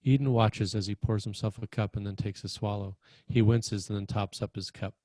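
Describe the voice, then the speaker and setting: A man's voice: fairly low pitch, steady tone, moderate pace, mellow, no echo. A man narrating scripted screenplay action as the stage-direction reader at a table read.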